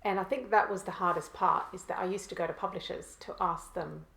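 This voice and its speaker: Guest: woman from Malawi, low gravelly voice, halting delivery, echoey room. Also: fairly even intonation, fairly bright tone. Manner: hesitant, many pauses and restarts. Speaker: guest, cookbook author. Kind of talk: conversational interview answers.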